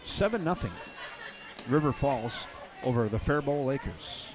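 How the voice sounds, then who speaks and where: An older man doing play-by-play for a baseball game, chatty and folksy, with light jokes between pitches. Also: expressive, normal speed. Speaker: play-by-play announcer. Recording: crowd noise in the background.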